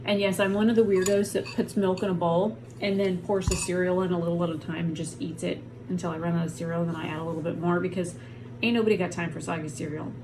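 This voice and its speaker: A woman's voice: on the low side, steady tone, quick pace. Chatty woman in pain, noisy home recording. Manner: chatty, rambling, frank. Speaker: vlogger. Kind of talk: casual talk to camera. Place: at home on a heating pad.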